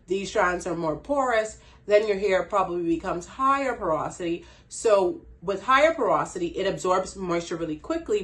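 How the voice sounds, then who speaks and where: A woman's voice: mid-range voice, a bit bright. A woman from the Bahamas with a Bahamian accent, giving a casual hair care tutorial in a chatty way and laughing at herself. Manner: chatty, laughs at herself, frequent "you know". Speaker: hair care brand owner.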